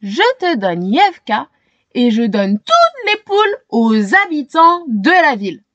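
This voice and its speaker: A woman's voice: sits high, wildly swooping pitch, takes it slowly, a bit bright, dry recording, highs cut off, exaggerated voice. A woman voicing a kindly, generous bearded man in a children's storybook, exclamatory and good-humoured. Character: bearded man, kindly, generous truck-driving hen owner.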